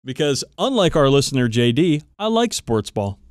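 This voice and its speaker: A man's voice: mid-range voice, big pitch swings, moderate pace, clean tone, no room sound, studio quality. A man co-hosting a podcast in casual, teasing banter with some swearing. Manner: profane, teasing. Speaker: podcast co-host.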